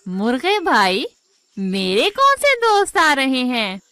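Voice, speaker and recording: A woman voicing a sly, hungry female fox in a children's cartoon story, wheedling and scheming with drawn-out words. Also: sits high, huge pitch swings, pushed and bright, smooth, full character voice. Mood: puzzled. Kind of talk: children's animated moral story acting.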